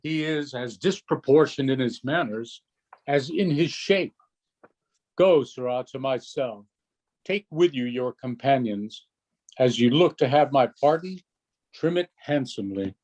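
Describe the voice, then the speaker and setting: A man playing a dignified sorcerer duke in a Shakespeare reading, measured and formal. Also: medium pitch, a little mellow, lightly acted. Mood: stern. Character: commanding, dignified sorcerer duke reciting verse. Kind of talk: unrehearsed Shakespeare play reading.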